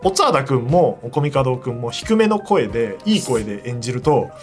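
Guy podcast host, talks fast and bouncy, frank and teasing, faint music behind. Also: medium pitch, wildly swooping pitch. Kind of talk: casual chat reviewing a radio drama.

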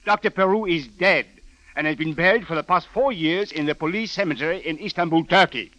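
An actor playing a stern police captain in a 1940s radio drama, speaking in precise, emphatic, formal officialese. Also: male voice, a little high, a little fast, pushed and bright, no room sound. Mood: grave, firm. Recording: dull vintage radio recording, dry.

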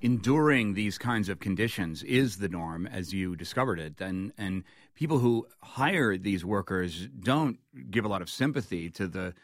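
Male radio host, serious news interviewer. Lowish pitch, very dry studio-close sound. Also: dry recording.

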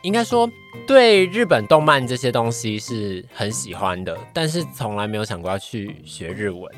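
A man's voice: medium pitch, big pitch swings, normal speed, fairly bright tone. Young man, Taiwanese Mandarin accent, varied intonation, modest casual guest, faint music behind. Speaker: podcast guest, trainee voice actor. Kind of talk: casual interview chat.

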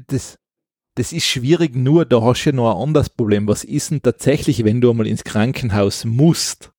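A man's voice: medium pitch, moderate pace, warm tone, no echo, studio quality. A man with an Austrian accent co-hosting a podcast in casual, opinionated chat, often repeating words as he starts a thought. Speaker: podcast co-host.

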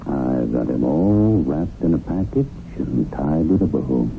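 A man playing a smug, streetwise devilish tempter in a 1970s radio drama, slangy and taunting, with a gravelly voice. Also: very deep voice, lively intonation, a little slow, heavily acted. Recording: muffled, noisy 1970s radio recording.

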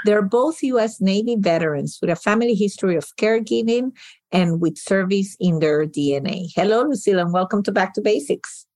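A woman's voice: medium pitch, expressive, brisk, mellow, no room sound. A woman hosting an interview podcast, talking in an encouraging way with many restarts and fillers. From outside the US. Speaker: podcast host. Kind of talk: conversational interview.

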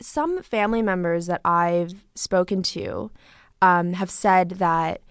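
A woman from Korea hosting a documentary podcast, narrating and interviewing softly with thoughtful pauses. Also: fairly low pitch, smooth, no echo, studio quality.

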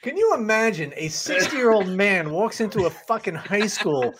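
A man co-hosting a crude comedy podcast, speaking in deliberate, mock-formal wording with many hesitations. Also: high-pitched, very animated, background noise. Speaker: co-host.

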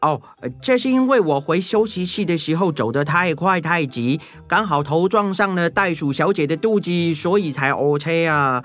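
A man's voice: a little high, lively intonation, normal speed, hardly any pauses, fairly bright tone, faint music behind. A man voicing a flustered, apologetic, earnest character in a children's audio story, hesitant and given to exclaiming. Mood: sheepish, apologetic.

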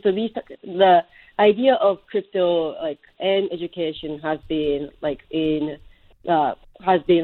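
Dull lo-fi audio, low-pitched woman, halting conversational answers, interview guest. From Japan. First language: Japanese. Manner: halting, searching for words. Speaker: interview guest, startup co-founder.

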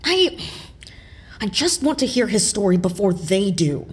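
A woman's voice: medium pitch, very animated, a little slow, slight background noise. An actress playing a flippant, pragmatic female intelligence agent with a dry, breezy manner in an audio drama. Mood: dismissive, defensive.

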